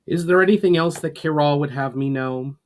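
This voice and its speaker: A man's voice: on the high side, brisk, darkish tone, voice pushed up, natural voice. A man playing a pensive, reserved male warlord in a fantasy story reading, speaking tersely and formally. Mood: grave, pensive.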